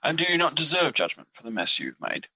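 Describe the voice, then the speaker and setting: A man's voice: on the high side, wildly swooping pitch, fast talker, bright, forceful tone, breathy edge, dry recording, heavily acted, rough recording. A man playing Guilt as a personified emotion in an audio drama, cold and judgmental, with formal, mocking, accusing delivery. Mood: judging.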